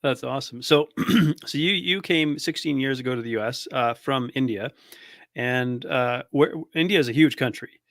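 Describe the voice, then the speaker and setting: A man's voice: mid-range voice, normal speed, a bit bright, no echo. Curious male podcast host, close dry sound. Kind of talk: casual interview.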